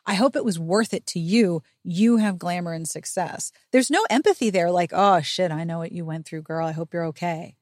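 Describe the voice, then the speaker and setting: A woman's voice: on the low side, moderate pace, few pauses, darkish tone, no room sound, studio quality. A woman hosting a podcast in a casual book recap chat, speaking in an opinionated, emphatic, slangy way. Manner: opinionated, emphatic, slangy, acts out imagined quotes. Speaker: podcast host.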